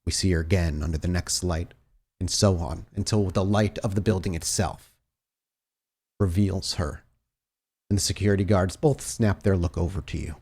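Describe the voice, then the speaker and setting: A man's voice: deep voice, fairly even intonation, normal speed, darkish tone, slightly rough. A man working as game master, narrating a tabletop game in dramatic, cinematic scene-setting descriptions.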